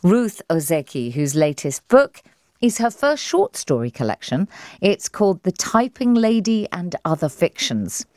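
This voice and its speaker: A woman hosting a radio arts programme, presenting in a lively, expressive way. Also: lowish voice, measured pace, no echo, clean studio sound. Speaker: radio arts show host. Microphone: close studio mic.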